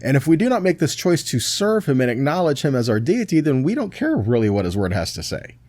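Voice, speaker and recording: A man's voice: medium pitch, sing-song, fairly quick, a little mellow, dry recording. A man teaching scripture in a sermon, reading verses aloud and checking in with short tag questions. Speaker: preacher teaching a Bible study.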